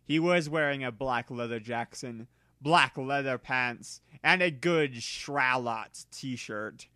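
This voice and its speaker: A man narrates fiction with theatrical, exaggerated emphasis. His voice is bright and forceful at an ordinary pitch, and the recording is dry, with hardly any room in it. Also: slow, no room sound. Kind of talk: dramatic reading of fan fiction.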